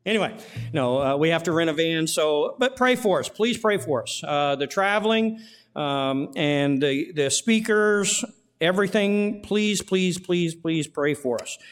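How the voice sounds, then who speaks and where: A male pastor with a slightly high voice leads his church through announcements and a prayer. He speaks in a folksy way, repeating words and filling gaps with "uh". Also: expressive, unhurried. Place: church sanctuary.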